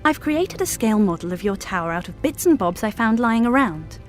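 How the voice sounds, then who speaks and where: A woman's voice: mid-range voice, expressive, a little fast, faint music behind, lightly acted. An actress voicing a fussy, condescending noblewoman in a video game. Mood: chirpy. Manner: genteel, chirpy, condescending. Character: prim, fussy noblewoman, house-proud and bossy. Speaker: game character.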